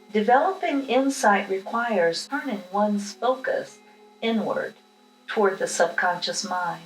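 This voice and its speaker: Slow-paced woman leading guided meditation. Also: mid-range voice. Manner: calm, unhurried, instructional. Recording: roomy echo with music behind.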